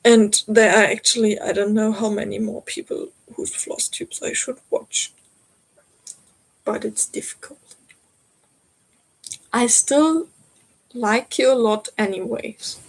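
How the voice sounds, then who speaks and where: Slow-talking German woman chatting live. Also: fairly high pitch, steady tone, vocal fry, breathy edge, rough recording. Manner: chatty and giggly, talks to viewers directly. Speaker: stitching channel host. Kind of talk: live stream chat reading viewer comments.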